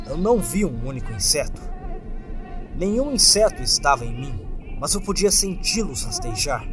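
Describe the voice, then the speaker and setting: A man with a Brazilian accent narrates a horror story in a fairly high voice. His pitch moves in wide, lively swings through the scripted first-person telling, with music playing behind him.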